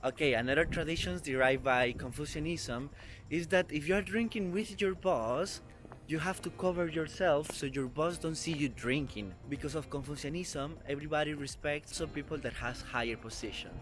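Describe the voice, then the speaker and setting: A man's voice: fairly high pitch, moderate pace, a bit bright. Young man explaining over background music. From Costa Rica. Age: 20s. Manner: earnest, careful non-native English with small grammar slips. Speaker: vlogger. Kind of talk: explanatory travel vlog. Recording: music playing underneath.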